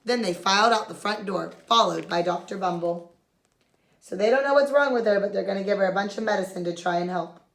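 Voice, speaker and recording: A woman's voice: medium pitch, brisk, gravelly, reverberant, low-quality recording. A woman reading a children's picture book aloud as a storyteller, expressively and with simple explanations for young listeners.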